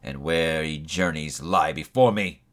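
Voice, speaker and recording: A man voicing a doomed old male ghost, solemn and grieving, speaking slowly and heavily in a storybook reading. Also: very deep voice, fairly bright tone, voice pushed down. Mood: mournful. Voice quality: slow, heavy, mournful delivery.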